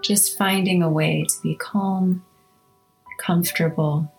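A woman hosting a guided meditation, speaking in a soothing, measured way with long pauses between short phrases. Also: lowish voice, fairly even intonation, gravelly. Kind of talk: slow guided meditation narration. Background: instrumental music.

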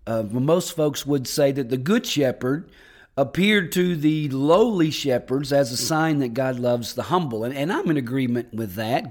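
A man preaching as pastor on a podcast, hoarse and raspy from losing his voice, talking in a folksy, enthusiastic way with frequent restarts. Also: fairly high pitch. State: hoarse, lost voice. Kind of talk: podcast sermon.

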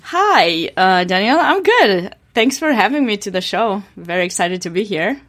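A woman's voice: mid-range voice, sing-song, normal speed, a bit bright. A woman from Romania with a Romanian accent, a podcast guest speaking in a thoughtful, hesitant way with many ums. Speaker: guest, chess grandmaster and coach. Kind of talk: interview conversation.

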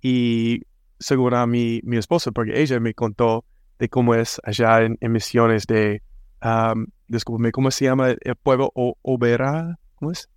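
Slow, hesitant American man hosting. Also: medium pitch, flat delivery, smooth, no echo, studio quality. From United States. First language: English. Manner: hesitant, frequent restarts and fillers. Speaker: podcast host. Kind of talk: casual podcast intro.

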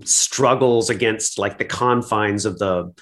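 A man's voice: fairly low pitch, slow pace, fairly bright tone. A man speaking as a director in a casual discussion, thoughtful and appreciative, feeling his way through his phrases. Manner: thoughtful, appreciative, searching for words. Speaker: director and adapter, interviewing the actor. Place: remote video call.

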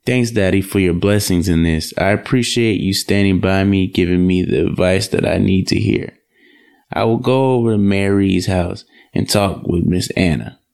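Man playing earnest young suitor, low gravelly voice. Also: moderate pace, darkish tone. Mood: sincere, grateful. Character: earnest young man in love, respectful son. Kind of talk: audio drama acting.

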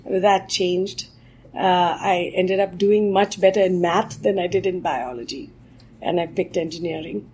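A middle-aged woman from India answers an interviewer's questions. Her voice is a little husky, and she speaks reflectively, with fillers and restarts as she gathers her thoughts. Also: fairly low pitch, fairly even intonation, measured pace, a little mellow, rough recording. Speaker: guest, tech executive.

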